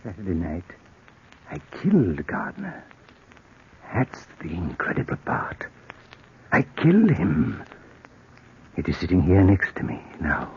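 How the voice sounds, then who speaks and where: An actor playing a desperate middle-aged murderer who narrates his crime in hushed, brooding tones, in 1940s radio acting style. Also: low voice, breathy edge, full character voice. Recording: tinny old radio recording.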